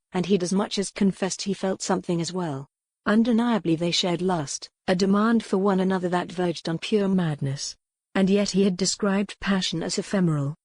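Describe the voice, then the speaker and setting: A woman's voice: fairly low pitch, a little mellow, husky, dry recording. A woman reading a romance novel aloud as scripted audiobook narration. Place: dry recording space.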